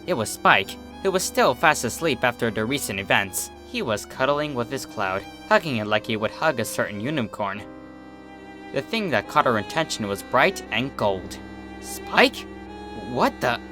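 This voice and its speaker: A man reading a story as narrator, in an expressive, dramatic scripted style. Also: medium pitch, moderate pace, over music. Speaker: fan fiction narrator.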